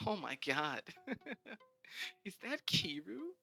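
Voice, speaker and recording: Man as teen girl bully, high voice, taunting, bright. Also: big pitch swings, unhurried, faint music behind, heavily acted. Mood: mocking. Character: mocking teenage girl bully.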